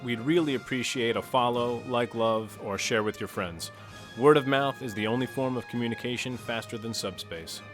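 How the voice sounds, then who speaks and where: A man's voice: mid-range voice, normal speed, a bit bright, soft background music. A man reading a scripted podcast outro as one of the show's regulars. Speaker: player and show announcer.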